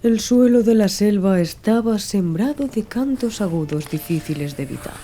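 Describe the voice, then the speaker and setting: A woman's voice: medium pitch, expressive, normal speed, mellow. A woman reading literary prose as an audiobook narrator in a scripted storytelling style. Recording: music under the voice. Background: background music.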